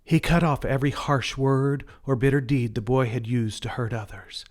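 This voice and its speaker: A man narrating a scripted children's story in a deliberate read-aloud manner. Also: medium pitch, steady tone.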